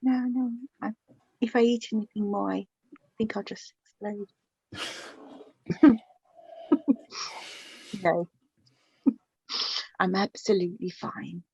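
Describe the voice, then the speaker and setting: Woman acting middle-aged widowed mother, gentle, flustered, halting speech, slightly husky, muffled radio play sound. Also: mid-range voice, steady tone, no echo, exaggerated voice. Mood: polite, warm. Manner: hesitant, halting, repeating words, sighing. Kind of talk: naturalistic radio drama dialogue.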